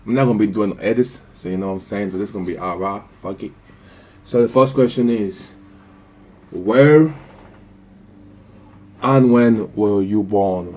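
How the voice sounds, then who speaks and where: A man's voice: lowish voice, moderate pace, pauses often, a little mellow. A 24-year-old man from the Dominican Republic with a Caribbean English accent from the Virgin Islands, rambling casually through unedited vlog questions, swearing and saying "you know what I mean" constantly. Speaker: vlogger answering viewer questions.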